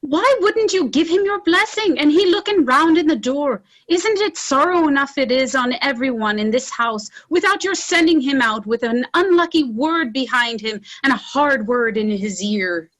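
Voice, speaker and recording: A woman's voice: sits high, lively intonation, moderate pace, hardly any pauses, fairly bright tone, poor audio. A woman playing a capable, scolding young peasant daughter in a stage play, earnest. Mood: reproachful. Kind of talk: stage drama read as virtual play.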